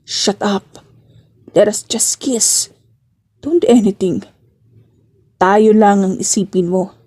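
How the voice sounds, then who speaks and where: Woman voicing a stern, brooding male boss, mellow tone. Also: medium pitch, slow pace. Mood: intense. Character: cold, brooding male boss, serious and impatient lover. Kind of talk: audio drama romance acting.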